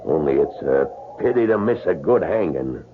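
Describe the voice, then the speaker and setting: Callous soldier, very low, lively drawl, radio drama. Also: male voice, moderate pace. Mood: callous relish. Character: gruff older soldier relishing a hanging, folksy and callous. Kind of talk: old-time radio drama acting. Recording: tinny, noisy old radio broadcast.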